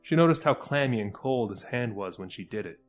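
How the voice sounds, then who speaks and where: A man narrates scripted fiction as a storyteller. His voice is mid-range, with quiet music playing behind him. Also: mid-range voice, lively intonation, brisk. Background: faint music.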